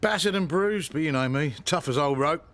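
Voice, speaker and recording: A man's voice: fairly high pitch, normal speed, fairly bright tone, close to an everyday voice. A man playing a proud, stubborn, middle-aged pub landlord and dad in a radio soap. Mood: stubborn bravado.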